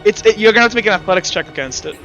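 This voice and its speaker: A man narrating a tabletop roleplaying game as game master, in halting, improvised, stop-start phrases. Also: sits high, lively intonation, lo-fi sound, over music.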